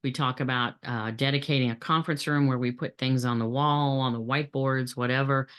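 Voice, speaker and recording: A woman's voice: very deep voice, fairly even intonation, fairly quick, fairly bright tone, smooth. A middle-aged woman gives a training presentation on supply chain methods, speaking plainly with frequent fillers and restarts.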